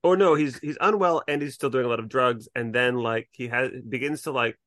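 A man's voice: mid-range voice, fairly quick, a bit bright, no echo, studio quality. A man co-hosting a podcast in a casual, conversational discussion. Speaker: podcast co-host. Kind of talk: casual discussion, recapping TV episodes.

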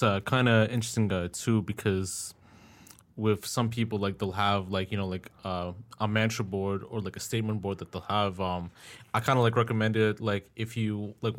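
A young man hosting a podcast, asking long, rambling questions full of fillers in a laid-back way. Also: lowish voice. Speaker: podcast host. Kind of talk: casual interview talk.

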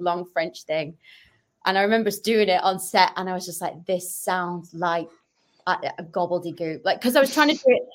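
A woman podcast guest, an actress and comedian, chatting casually and telling anecdotes, often acting out the dialogue. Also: lowish voice.

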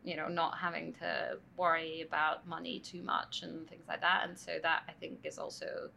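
A woman, answering interview questions thoughtfully with many pauses and restarts, at a conference venue. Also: deep voice, flat delivery, gravelly, pushed and bright, a little hiss or hum. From France. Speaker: guest. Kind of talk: conversational interview. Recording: faint background ambience at a summit.

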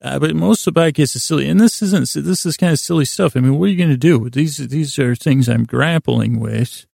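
A man hosting a bedtime podcast in a creaky voice, rambling in a lulling, halting, self-deprecating way. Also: fairly high pitch, a little mellow, no echo, studio quality. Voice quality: creaky, gravelly. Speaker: podcast host. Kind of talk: rambling, meandering bedtime monologue.

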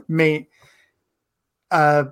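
A man in his thirties, a native English speaker from Quebec, giving a guest interview in a thoughtful, halting way. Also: a little high, monotone, smooth. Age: 30s. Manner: thoughtful, halting, with frequent pauses and restarts. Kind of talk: casual interview conversation.

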